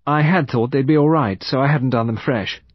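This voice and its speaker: Man voicing a brisk young woman, pitched high. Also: husky, no room sound, lo-fi sound, lightly acted. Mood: brisk, casual. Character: brisk, defensive young upper-class married woman. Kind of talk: audiobook character voice.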